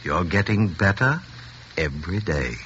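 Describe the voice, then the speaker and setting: An actor playing a courteous, authoritative doctor, formal and tactful, in a 1940s radio drama. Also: male voice, sits low, expressive, unhurried. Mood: reassuring, formal. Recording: dull, faintly noisy vintage radio recording.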